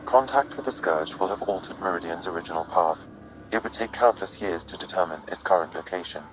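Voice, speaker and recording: An actor playing a calm, precise artificial intelligence in a video game, speaking in a measured, formal, impassive way. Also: male voice, low-pitched, background noise, lightly acted. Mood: calm, informative. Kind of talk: video game cutscene acting.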